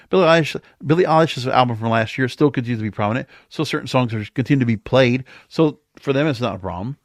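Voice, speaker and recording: A man's voice: medium pitch, no room sound, clean studio sound. A man hosting a podcast, giving opinionated music industry commentary in a conversational, emphatic way, sometimes reading aloud. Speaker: podcast host.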